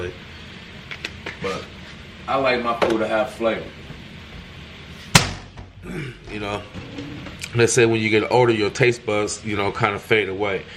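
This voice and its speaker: A man's voice: fairly low pitch, fairly bright tone, a little hiss or hum, rough recording. A man casually talking viewers through his home cooking. He rambles a little, repeats himself, and keeps asking the audience questions. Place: home kitchen. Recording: music playing behind voice.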